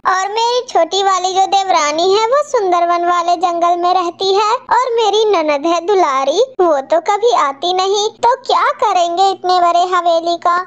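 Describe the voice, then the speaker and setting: A woman's voice: very high-pitched, fairly bright tone. A woman voicing a chatty, grumbling married female pigeon in a cartoon story. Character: complaining married female pigeon housewife with big house. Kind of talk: Hindi cartoon story dubbing.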